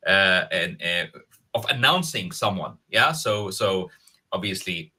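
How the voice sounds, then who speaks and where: A man hosting a casual interview, speaking haltingly and often repeating words. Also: deep voice, lively intonation, sharp tone, husky. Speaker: interview show host.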